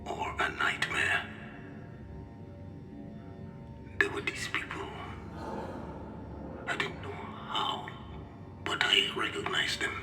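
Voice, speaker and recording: A man playing a haunted, troubled character recording a game audio log in a halting, breathy whisper. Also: roomy sound, close to an everyday voice. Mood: shaken, confused. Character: haunted man recording memory of his nightmare.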